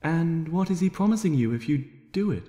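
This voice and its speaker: A man voicing a shy, gentle young female pony with a hesitant, soft-spoken manner in an amateur story reading. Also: a little high, animated, a little fast, voice pushed up, close to an everyday voice. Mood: gentle questioning. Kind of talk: amateur fan fiction audiobook character voice. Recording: echoey room with long reverb.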